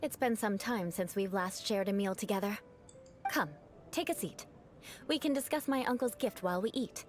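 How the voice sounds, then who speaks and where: A woman's voice: mid-range voice, normal speed, soft background music, close to an everyday voice. An actress playing a proud, aloof aristocratic female knight who speaks formally with dry, haughty teasing, in video game dialogue.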